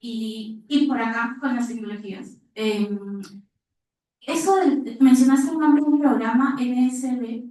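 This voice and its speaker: A woman's voice: fairly high pitch, measured pace, dark tone. A woman with a Peruvian accent speaking informally from the audience at a conference, telling a story and asking a question. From Peru. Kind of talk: spontaneous anecdote and question to panelists. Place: reverberant indoor conference room. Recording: very echoey room, distant microphone.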